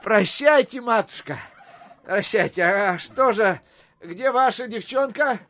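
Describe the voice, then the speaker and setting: An actor playing a portly, middle-aged gentleman traveller in a theatrical audio drama, exclamatory and effusively polite. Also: sits high, animated, slow, no room sound, full character voice. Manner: exclamatory, swinging from scolding to courteous.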